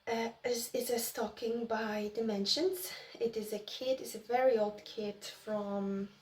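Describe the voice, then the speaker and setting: A woman's voice: fairly high pitch, fairly even intonation, measured pace, far from the mic. A woman in her early thirties from Poland, chatting casually to viewers as host of a craft vlog.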